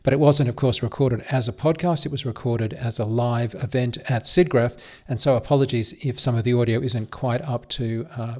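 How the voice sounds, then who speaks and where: A man's voice: medium pitch, fairly even intonation, moderate pace, hardly any pauses, darkish tone. Australian man introducing a recorded panel. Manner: hesitant, many fillers. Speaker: podcast host. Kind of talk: casual spoken introduction.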